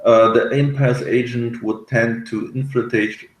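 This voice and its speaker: A man reviewing a TV episode in casual, analytical discussion, speaking haltingly as he searches for words. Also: medium pitch, steady tone, reverberant, rough recording. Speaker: podcast reviewer.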